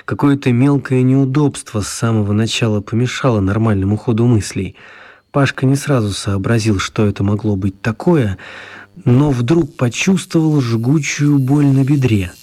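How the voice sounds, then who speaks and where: A man narrating a children's radio play as scripted, expressive storytelling. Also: mid-range voice, moderate pace.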